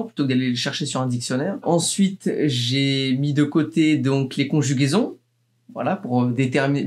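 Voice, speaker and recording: A young man answering interview questions casually, pausing often with fillers and restarting sentences. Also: medium pitch. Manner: hesitant, many fillers and restarts, laughs often. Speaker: guest, accent imitator.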